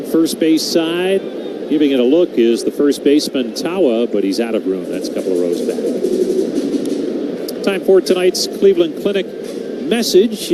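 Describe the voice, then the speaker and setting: A man calling baseball play-by-play in a radio style, mixing clipped pitch calls with conversational asides. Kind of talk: live radio sports play-by-play commentary. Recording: very noisy live broadcast, constant background hum.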